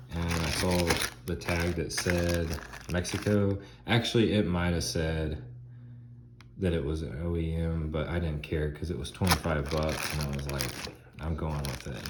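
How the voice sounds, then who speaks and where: A man's voice: low voice, heavy background noise. A man in his thirties, a bit stuffy from a head cold, talking through a truck repair in a casual, folksy how-to style, recorded on a phone in his home garage. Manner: chatty, folksy, loose grammar. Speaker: DIY auto repair host. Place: home garage, by an open door. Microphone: phone camera close by, at arm's length.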